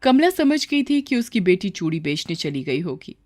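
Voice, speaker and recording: Lowish-voiced woman narrating a story. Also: sing-song, moderate pace, a little mellow, no echo, clean studio sound.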